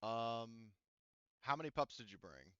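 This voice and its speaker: A man's voice: medium pitch, sing-song, unhurried, sharp tone, clear, resonant tone, no room sound, dull audio. A man running a tabletop game as game master, explaining rules patiently with many fillers.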